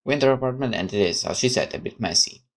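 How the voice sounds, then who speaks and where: A woman's voice: a bass voice, very fast. A woman reading a visual novel aloud expressively, with casual commentary as she plays.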